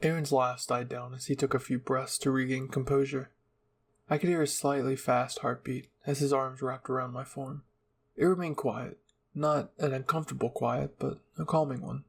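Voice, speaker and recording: Casting: male narrator for fan fiction, scripted reading with an average-pitched voice. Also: creaky, breathy edge. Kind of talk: scripted story narration, ASMR roleplay reading.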